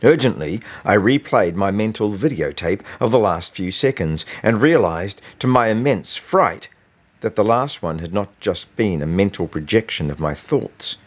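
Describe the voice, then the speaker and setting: A man reading his own novel aloud as expressive, scripted audiobook narration. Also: low-pitched.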